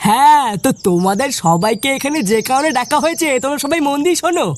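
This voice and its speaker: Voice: sits high, big pitch swings, fast, slight background noise. A performer who sounds like a woman, playing a bossy, earnest young male village club leader in a cartoon, talking in a commanding, explanatory way. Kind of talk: cartoon dialogue.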